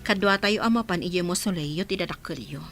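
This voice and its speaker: A woman's voice: on the low side, a little fast, over music, lightly acted. A woman playing a kindly, playful motherly figure in a radio drama, with an Ilocano accent. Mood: friendly, teasing.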